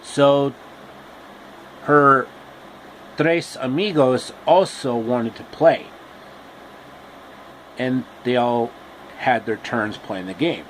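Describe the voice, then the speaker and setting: A man telling a story casually and off the cuff, in a halting way with pauses and repeats. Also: medium pitch, fairly bright tone, slight background noise, low-quality recording.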